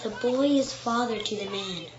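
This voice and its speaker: An actor in a radio drama playing an eerily calm little boy who speaks with the knowing, deliberate manner of a grown man. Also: mid-range voice, a crowd in the background, noisy background, close to an everyday voice. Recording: roomy echo under prominent music.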